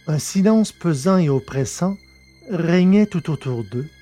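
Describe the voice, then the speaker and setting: A man reading a science fiction story aloud in a steady, measured narration. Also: a little high, soft background music. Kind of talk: scripted audiobook narration.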